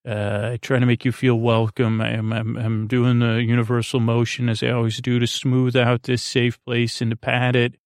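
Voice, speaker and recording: A man with a very creaky, fairly flat voice talks in a dry, close-sounding recording. He rambles soothingly like a bedtime podcast host, wandering off, pausing long and restarting his sentences. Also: mid-range voice, no room sound. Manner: meandering and halting, with drawn-out pauses and false starts.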